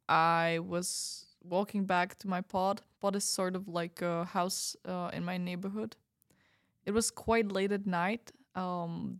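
Misfit community resident, acted by a woman: unhurried, very slow, calm, hesitant, close dry sound. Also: medium pitch, no room sound, natural voice. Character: female community resident recounting an eerie night. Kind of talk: fiction podcast backstory monologue.

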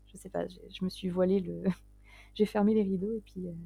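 A woman's voice: lowish voice, fairly even intonation, moderate pace, gravelly, dark tone, husky. A woman in her late twenties, a guest writer talking casually in an interview, hesitant and self-deprecating, often breaking into laughs. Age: 20s.

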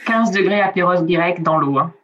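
A woman's voice: on the low side, moderate pace, highs cut off, crowd noise. A middle-aged woman from southern France, with Italian as her first language, chatting in an interview with many hesitations and trailing endings. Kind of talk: casual interview chat.

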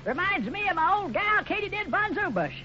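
An actor playing a gullible, good-natured, talkative Western sidekick with a folksy American drawl in a 1950s radio serial. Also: male voice, very high voice, sing-song, a little fast, pushed and bright, exaggerated voice. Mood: chuckling, eager. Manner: folksy drawl, naive, rambling. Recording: muffled, noisy old radio recording.

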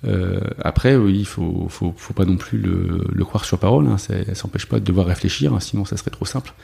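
Guest dev, male, low mellow voice with fry, hesitant delivery full of fillers. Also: a little fast. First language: French. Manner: hesitant, many fillers and restarts. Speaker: guest, software developer. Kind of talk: casual conversational interview.

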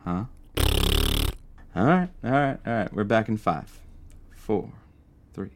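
A man's voice: lowish voice, lively intonation, slow. A man playing an easygoing radio producer and announcer who sidekicks on a call-in show, with noise on the recording. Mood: casual musing. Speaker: producer introducing callers. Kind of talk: semi-improvised audio drama, radio announcing.

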